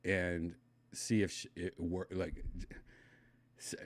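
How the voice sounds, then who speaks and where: A middle-aged man from Wisconsin with a slight lisp, chatting casually and reading his stream chat, loose and mumbling, trailing off into quiet asides. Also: deep voice, level tone, slow pace. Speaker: livestream host reading chat.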